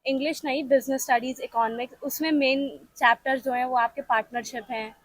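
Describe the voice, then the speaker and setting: A young woman student with a high voice who lays out her answers point by point. She sounds close and dry, with no room behind her. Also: steady tone, a little fast, a bit bright, dry recording, low-quality recording. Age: young adult. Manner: explains step by step, lists subjects. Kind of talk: casual interview answers.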